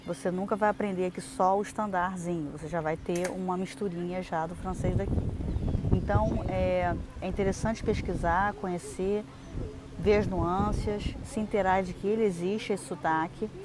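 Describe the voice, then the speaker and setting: A woman's voice: fairly low pitch, steady tone, moderate pace. A young adult Brazilian woman giving chatty, explanatory advice in a casual vlog, with lots of fillers and restarts. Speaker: vlogger. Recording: noisy outdoor recording.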